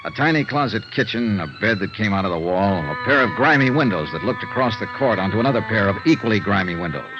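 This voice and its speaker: An actor playing a world-weary, dry American investigator in a 1950s radio noir drama, with clipped hard-boiled delivery. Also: male voice, on the low side, animated, fast talker, hardly any pauses, music in the background, lightly acted. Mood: somber narrating. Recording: muffled vintage radio recording.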